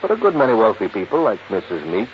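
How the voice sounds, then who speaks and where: A worried American insurance executive with a low voice, from 1950s radio drama. He explains things emphatically, with pessimistic prodding questions. The old recording is muffled and faintly noisy. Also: big pitch swings, fast talker. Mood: worried, sardonic.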